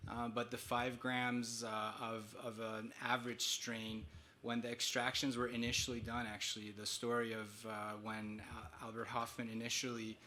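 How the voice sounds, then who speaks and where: A man answering as a clinician on a conference panel, measured and explanatory, with frequent fillers. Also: mid-range voice, level tone, pushed and bright, slight background noise.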